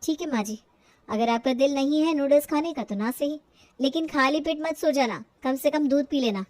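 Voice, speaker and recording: A dutiful young daughter-in-law voiced by a woman in a family audio story. Her voice is high and dry, with an earnest, polite, coaxing manner. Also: normal speed, darkish tone, dry recording, natural voice.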